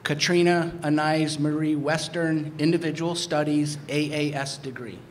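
A man with a fairly high voice serves as ceremony announcer. He reads a formal roll call in deliberate, separated phrases, with faint hall noise behind him. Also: fairly even intonation. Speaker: announcer of graduates' names. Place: auditorium. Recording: clear background noise, hall ambience.

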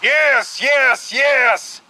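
A man's voice: very high voice, extremely slow, pushed and bright, pitched above the performer's own voice, heavily acted. A man voicing a desperate, frightened, half-mad passenger in an audiobook, insistent and frantic. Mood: frenzied. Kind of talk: audiobook character dialogue.

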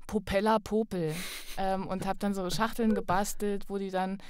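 Woman podcast guest telling stories casually, keeps correcting herself, medium pitch, dry close sound. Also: flat delivery, normal speed, a little mellow, clear, resonant tone, no room sound. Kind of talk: casual chat, telling personal anecdotes.

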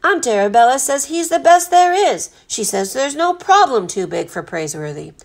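Plucky storybook lad female reader, loyal and determined, animated and youthful in delivery. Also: high voice, moderate pace, voice pushed up. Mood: earnest, nervous. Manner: polite, eager, determined. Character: earnest, plucky, loyal young boy stowaway.

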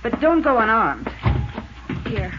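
A woman's voice: on the high side, sing-song, slow, background noise. A woman playing a poised, evasive rancher's widow with an American accent in a 1950s radio drama. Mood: urgent. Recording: dull, band-limited 1950s radio recording.

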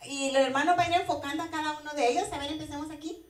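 A woman's voice: high voice, fairly even intonation, very fast, far from the mic. Cheering woman host in an echoey studio. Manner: enthusiastic, with cheering shouts. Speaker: TV program host. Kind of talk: live show introducing guests. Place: TV studio stage.

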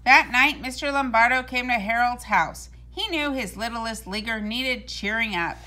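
Bright, high-voiced woman reading a storybook. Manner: deliberate, expressive storytelling. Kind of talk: scripted read-aloud of a children's storybook.

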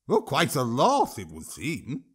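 Loud sneering troll guy, jeers and yells, voice pitched up, a man putting it on. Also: huge pitch swings, a little slow, slightly rough, heavily acted. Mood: ranting. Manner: jeering, shouting over a crowd. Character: boastful bigoted male troll minister.

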